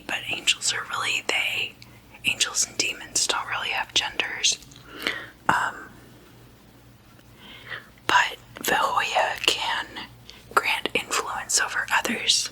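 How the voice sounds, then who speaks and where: A woman hosting whispered ASMR, speaking in a soft, breathy whisper in a casual, gossipy, deliberate way, like chatting at a sleepover. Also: low-quality recording. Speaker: ASMR host.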